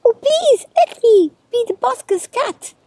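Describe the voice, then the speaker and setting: Woman squeaking as an eager, very high-pitched storybook kitten. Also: animated, unhurried, warm tone, no room sound, exaggerated voice. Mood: eager pleading. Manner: pleading, exclaiming. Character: eager young loud male kitten Samuel. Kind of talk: picture-book read-aloud.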